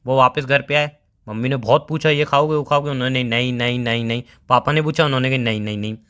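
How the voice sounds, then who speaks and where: Man acting a fast-talking, teasing young boyfriend. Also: medium pitch, fairly even intonation, pushed and bright, smooth, natural voice. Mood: explaining, storytelling. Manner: bantering, explanatory, anecdotal. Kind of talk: casual scripted couple phone call in Hindi.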